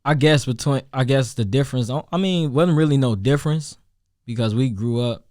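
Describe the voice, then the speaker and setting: A young man from Chicago with a Chicago accent and a deep voice, chatting loosely as a rapper guest, with many restarts and fillers. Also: moderate pace, darkish tone, dry recording, clean studio sound. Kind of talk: casual interview chat.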